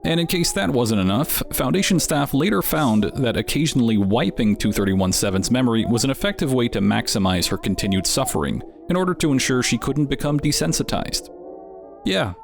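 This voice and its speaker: A man's voice: mid-range voice, expressive, brisk, vocal fry. A man hosting and narrating a video in a wry, conversational way. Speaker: host. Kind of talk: scripted narration. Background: music.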